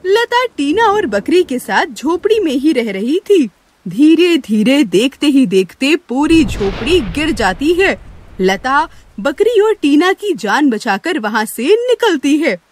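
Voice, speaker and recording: A woman narrating a scripted children's moral story in an expressive, sympathetic way. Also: high voice, takes it slowly. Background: music.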